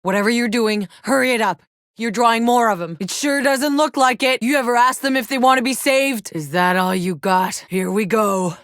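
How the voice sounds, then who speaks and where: A woman's voice: fairly high pitch, moderate pace, no echo, studio quality, lightly acted. An actress playing a tough, sardonic female soldier and explorer in a sci-fi video game, blunt and matter-of-fact. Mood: urgent, combative. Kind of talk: scripted video game character voice lines.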